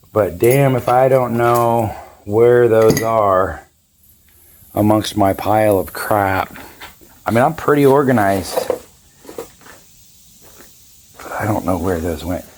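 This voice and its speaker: A man in his fifties with a raspy, hoarse voice, chatting casually and rambling through a boat repair vlog. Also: medium pitch, slight background noise. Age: 50s. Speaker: boat owner vlogger.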